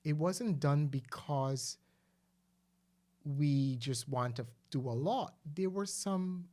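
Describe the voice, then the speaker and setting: A man's voice: fairly high pitch, slow, a little mellow. A man, co-hosting a casual discussion show, speaking earnestly and thoughtfully with frequent hesitations and restarts. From Guyana. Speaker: podcast co-host.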